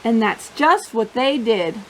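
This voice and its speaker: A woman hosting storytime for young children, reading and chatting in simple, expressive phrases. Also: fairly high pitch, slow, a little hiss or hum.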